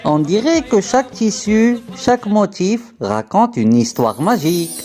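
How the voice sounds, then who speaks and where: A woman plays a sweet, excitable young boy in a children's cartoon. The delivery is slow and full of wonder, with lively rises and falls. Music plays clearly behind the voice. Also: mid-range voice. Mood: excited. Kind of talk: scripted children's cartoon dialogue.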